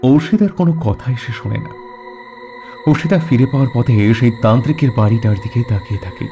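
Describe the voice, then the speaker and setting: A man's voice: mid-range voice, a little fast, dark tone, soft background music. A man narrating a scripted suspense story in a dramatic storytelling style.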